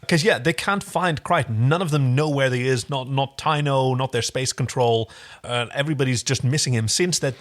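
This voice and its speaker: A man's voice: mid-range voice, normal speed. A man co-hosting a podcast with casual, enthusiastic, wordy recap chat about television. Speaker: podcast co-host.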